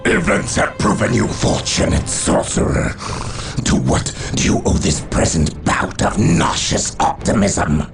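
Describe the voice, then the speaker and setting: A man's voice: deep voice, huge pitch swings, measured pace, gravelly, music in the background, heavily acted. A man playing a menacing, scornful chaos villain in a video game, theatrical and haughty. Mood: contemptuous.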